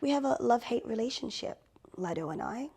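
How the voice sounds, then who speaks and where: A woman's voice: on the high side, lively intonation, a little fast, vocal fry, darkish tone, breathy edge, heavily acted. A young woman playing a posh, vain young woman who calls herself a star, haughty and theatrical. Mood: self-satisfied. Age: 20s.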